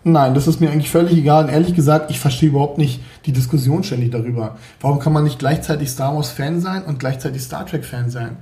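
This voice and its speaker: Hesitant man, gravelly voice. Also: fairly high pitch, fast talker, few pauses, mellow, roomy sound. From Berlin, Germany. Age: 40s. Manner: hesitant, many fillers and restarts. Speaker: podcast guest, 3D graphics artist. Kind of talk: casual interview chat.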